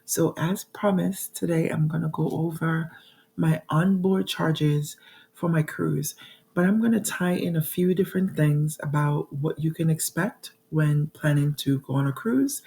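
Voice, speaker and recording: Hoarse, gravelly-voiced woman vlogger. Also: low-pitched, a little slow, dark tone. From New York. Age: 40s. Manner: chatty, addresses viewers directly, frequent ums. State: hoarse from a cold. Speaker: budgeting channel host. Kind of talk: casual talking-to-camera vlog.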